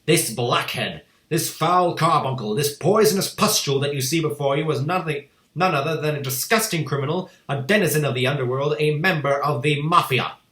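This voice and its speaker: A man's voice: mid-range voice, sing-song, normal speed, natural voice. A man voicing a cruel, furious headmistress who barks and screams insults at a pupil. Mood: raging. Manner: barking, shouting insults. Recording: echoey room.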